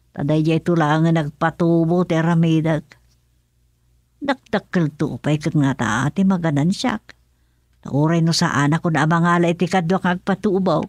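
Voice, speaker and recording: A woman's voice: low-pitched, no echo, studio quality. A woman with an Ilocano accent playing a thrifty, business-minded middle-aged mother in a radio comedy drama, chatty and given to musing aloud.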